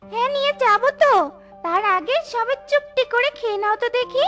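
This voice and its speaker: A woman's voice: very high-pitched, a little fast. A woman voicing a caring, protective elder sister bird in a children's cartoon. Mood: coaxing. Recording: music behind the voice.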